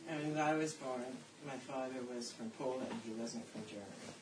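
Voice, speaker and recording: An older woman from Canada casually telling family stories in an animated, repetitive storytelling way. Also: a bass voice, a little fast. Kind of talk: casual reminiscing about family history. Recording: echoey, noisy room, distant microphone.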